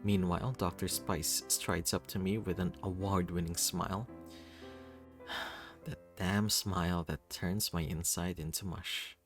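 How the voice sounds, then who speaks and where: A man reading a first-person visual novel aloud in an expressive, theatrical way. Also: low voice, unhurried. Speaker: reader voicing a visual novel. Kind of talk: dramatic first-person story narration. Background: music.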